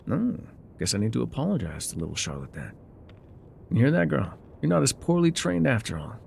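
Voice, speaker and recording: An actor playing a gruff, wry loner guide in an ASMR roleplay, with a dry, sardonic, unhurried delivery. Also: male voice, medium pitch, huge pitch swings, a little mellow, slight background noise, heavily acted. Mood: dry, curious. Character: gruff, wry loner man guiding a rider on foot.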